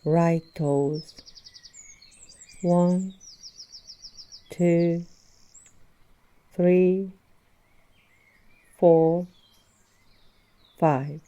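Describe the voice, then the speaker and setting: Woman, meditation guide, extremely soft dark tone, lowish pitch, gentle, soothing, spacious phrasing. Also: steady tone. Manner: soothing, gentle, unhurried instruction with long pauses. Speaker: yoga nidra instructor. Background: birdsong and nature sounds.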